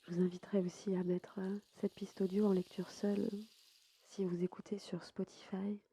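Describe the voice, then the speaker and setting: A woman softly guiding a sleep meditation as a yoga nidra host, unhurried and soothing, with a breathy voice that sometimes nears a whisper. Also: medium pitch, flat delivery. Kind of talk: guided sleep meditation narration.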